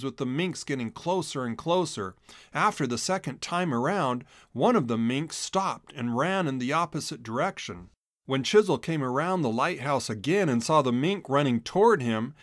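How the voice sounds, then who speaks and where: A man reading a children's storybook aloud in an expressive, dramatic narrating style. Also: medium pitch, no echo, clean studio sound. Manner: animated, dramatic delivery. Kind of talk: expressive scripted narration for a read-along storybook.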